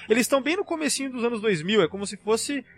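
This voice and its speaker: A man's voice: extremely high pitch, animated, racing, breathy edge, no echo. A man with a Brazilian accent in a casual fan discussion, explaining things in an enthusiastic, rambling way as a podcast panelist.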